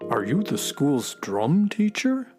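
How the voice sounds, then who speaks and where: A man's voice: fairly high pitch, wildly swooping pitch, takes it slowly, darkish tone, faint music behind, heavily acted. A man playing a dry, wry space-adventure sidekick in a children's radio play.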